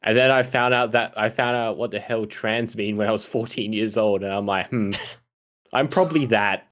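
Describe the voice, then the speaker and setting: A woman's voice: very deep voice, moderate pace. A woman guest on a livestream, hesitant and flustered while telling her story, restarting often. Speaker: stream guest. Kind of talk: casual chat on a livestream.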